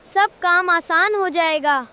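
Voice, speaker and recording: A woman's voice: very high-pitched, pushed and bright, soft background music. A woman voicing a cheerful, helpful young boy vegetable in a children's cartoon, with an earnest, encouraging manner. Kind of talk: children's cartoon moral story dialogue.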